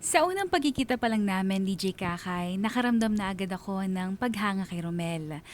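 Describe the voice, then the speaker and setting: A woman's voice: mid-range voice, expressive, a little fast, faint music behind, natural voice. An actress playing a wistful woman in her late twenties with a Filipino accent, narrating her love story in a radio drama. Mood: fond, reflective. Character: wistful twenty-nine-year-old female bank manager recounting lost love.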